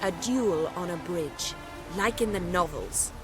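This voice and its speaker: A woman's voice: lowish voice, unhurried, lightly acted, low-quality recording. A woman playing a spirited, haughty young noblewoman in a video game, well-spoken and formal in her phrasing. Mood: playful, defiant. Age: young adult. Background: background music.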